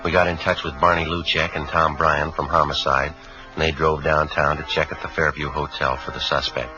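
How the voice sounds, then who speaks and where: A man's voice: rumbling low, level tone, fairly quick. A man playing an American police sergeant in a 1950s radio drama, narrating and questioning in a terse, matter-of-fact, businesslike way. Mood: flat, factual. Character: calm, businesslike male police sergeant narrating his case. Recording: dull 1950s radio broadcast, faint music.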